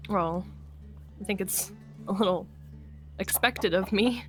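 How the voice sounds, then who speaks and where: A woman playing a hesitant, hopeful young apocalypse survivor in an improvised game roleplay, speaking haltingly and trailing off. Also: medium pitch, faint music behind, close to an everyday voice. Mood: hesitant, earnest.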